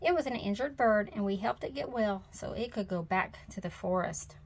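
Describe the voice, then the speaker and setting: A woman's voice: mid-range voice, normal speed, lightly acted. A woman voicing a sensible mother bear who explains things firmly and cautiously in a storybook. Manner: firm, explanatory.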